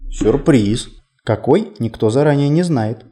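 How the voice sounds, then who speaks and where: A whimsical male city guide as a man reads him in an audiobook, chatting playfully and poking fun at himself. The voice is mellow and mid-pitched, with lively swings of intonation. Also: measured pace, close to an everyday voice. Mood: playful, confiding. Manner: conversational, playful, self-deprecating. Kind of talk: audiobook character dialogue.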